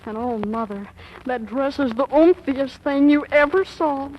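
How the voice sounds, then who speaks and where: A woman's voice: high-pitched, measured pace, darkish tone. A woman playing a gushing, melodramatic fifteen-year-old girl in love, in 1940s radio acting style. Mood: eager, dreamy. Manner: gushing, breathy hesitations and exclamations. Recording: muffled, noisy vintage radio recording.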